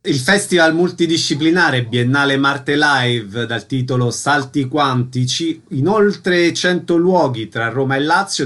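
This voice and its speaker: A man's voice: mid-range voice, a bit bright. A man hosting radio arts interviews and announcing events.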